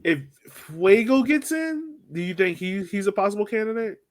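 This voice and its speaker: A man's voice: high-pitched, very animated, normal speed. Man casually hosting a sports podcast. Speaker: podcast host steering the discussion.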